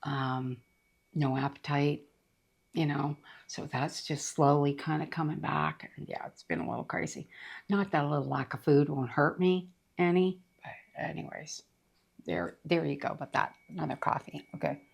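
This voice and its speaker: A middle-aged woman chatting casually about books she read, her voice rough after being sick, rambling with lots of fillers. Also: sits low, steady tone, normal speed. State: voice rough from recent illness. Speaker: book reviewer. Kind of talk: casual book review chat.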